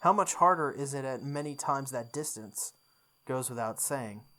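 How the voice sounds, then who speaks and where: A woman's voice: very deep voice, normal speed, a bit bright. A woman reading visual novel text aloud as a playthrough host, sometimes stumbling and re-reading lines. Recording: music behind the voice.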